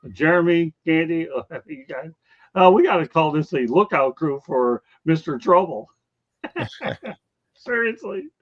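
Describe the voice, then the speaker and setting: A man's voice: a little high, animated, measured pace, dull audio. A man hosting a casual sports talk show, bluntly teasing and ranting at his co-hosts and viewers. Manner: blunt, teasing, addresses chat viewers by name.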